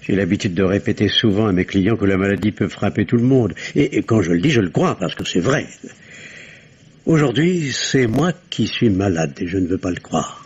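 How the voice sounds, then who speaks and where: An actor playing a doctor questioned by the police, who speaks in a hesitant, guarded way, in the manner of a 1950s radio drama. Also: male voice, on the low side, animated, normal speed, husky. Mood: confessing. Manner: hesitant, guarded, then confessional. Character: nervous doctor questioned as a suspect, man. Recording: dull old radio recording.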